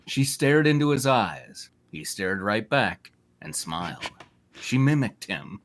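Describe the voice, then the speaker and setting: Guy narrating a silly story, bright forceful voice, takes his time, sounds amused. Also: lowish voice, sing-song, slow pace. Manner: amused, often breaking into laughter. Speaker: narrator in a group fan fiction reading. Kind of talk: scripted narration with joking asides.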